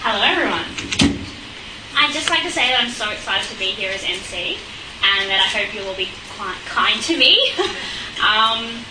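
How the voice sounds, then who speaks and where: A woman's voice: mid-range voice, lively intonation, normal speed. Woman MC in an echoey hall. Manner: friendly, with frequent ums. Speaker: event MC. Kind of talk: introducing a speaker. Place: event hall with audience. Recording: echoey room, far mic, noisy.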